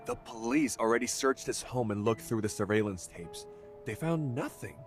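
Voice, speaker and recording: A man's voice: medium pitch, expressive, normal speed, lightly acted. Male actor, middle-aged tabloid reporter, game character, anxious, dramatic, gossip-hungry, faint music behind. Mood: eager, hushed gossip. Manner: nervous, stammering, dramatic. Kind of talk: English game voice acting.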